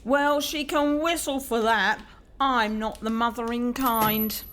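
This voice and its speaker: A woman playing a gruff, sharp-tongued housekeeper in an audio drama, blunt and snappish. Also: on the high side, fairly even intonation, slow, slight background noise. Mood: grumpy, scornful.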